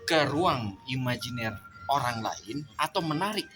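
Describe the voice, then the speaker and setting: A man's voice: medium pitch, big pitch swings, a little slow, over music. A man on a discussion panel who keeps pressing the others with questions.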